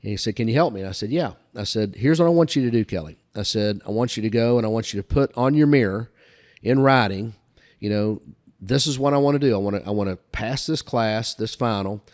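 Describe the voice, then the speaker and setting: An older man with a fairly low voice tells stories as an interview guest. His delivery is casual and folksy, and he likes to recount who said what. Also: brisk, a little mellow. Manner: folksy, recounts dialogue back and forth. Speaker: podcast guest telling career stories. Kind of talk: casual anecdotal storytelling.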